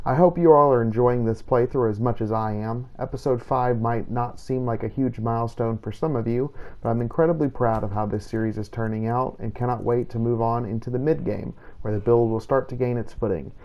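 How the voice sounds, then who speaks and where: A man's voice: medium pitch, steady tone, brisk, hardly any pauses, darkish tone, highs cut off. A man hosting a roleplay game series, narrating in a scripted, first-person journal style. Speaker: gameplay series host and narrator.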